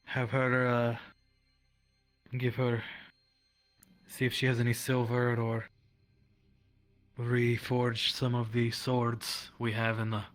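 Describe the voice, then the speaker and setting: A dutiful guard officer in a roleplay game, acted by a man. The voice is level and mid-pitched, deferential and a little hesitant, with faint music behind it. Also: completely flat delivery, slow pace, pauses often, natural voice. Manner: hesitant, deferential, matter-of-fact. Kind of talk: improvised roleplay in a virtual-world game.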